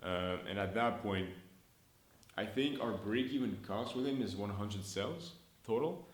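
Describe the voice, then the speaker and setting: A young man talks in a fairly low voice, chatty and upbeat, thinking out loud and restarting his sentences. He records himself in a room that gives the sound a noticeable echo. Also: measured pace. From Montreal, Canada. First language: French. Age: early 20s. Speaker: startup founder. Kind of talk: casual business vlog update. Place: indoor room, filmed on his own camera.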